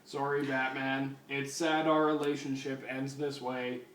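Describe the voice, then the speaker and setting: A solemn cyborg cop acted out by a man in a dramatic reading, speaking slowly in a flat monotone. The recording is roomy, with the voice a little away from the mic. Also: medium pitch, heavy background noise, lightly acted. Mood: resigned. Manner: monotone, measured. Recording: roomy, distant mic, music behind.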